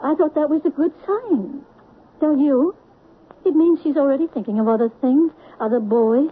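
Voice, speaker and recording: Actress doing a nervous flustered mom, highish voice, thin phone-like sound. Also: female voice, normal speed, close to an everyday voice. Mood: defensive. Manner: flustered, pleading. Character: anxious, flustered middle-aged mother. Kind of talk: 1970s radio drama acting. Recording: tinny old radio broadcast.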